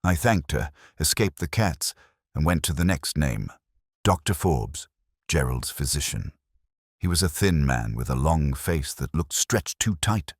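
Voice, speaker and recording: A man's voice: very deep voice, lively intonation, measured pace, slightly rough, no echo, studio quality. A man reading scripted first-person hardboiled detective narration as an audiobook narrator.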